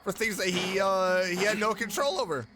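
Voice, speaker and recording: A man's voice: high-pitched, moderate pace. A man giving casual reaction commentary as he streams a game, exclamatory and joking. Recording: faint game music behind.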